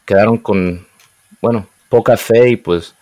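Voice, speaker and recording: A young man raised in the United States, speaking casually and often pausing to search for words. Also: fairly low pitch, mellow, no room sound. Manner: hesitant, searches for words, mixes in English. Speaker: guest. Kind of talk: casual conversation.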